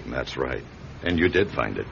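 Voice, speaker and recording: An actor playing a concerned, steady male friend who gently presses with questions in classic radio drama. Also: extremely low pitch, moderate pace, vocal fry, heavily acted. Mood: concerned, coaxing. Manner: solicitous, questioning. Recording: dull, noisy old radio recording.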